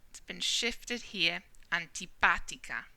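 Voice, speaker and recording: A British woman teaching a Spanish lesson, explaining clearly and checking in with the listener as she goes. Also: on the low side, animated, slow, sharp tone, no room sound. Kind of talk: whiteboard language lesson.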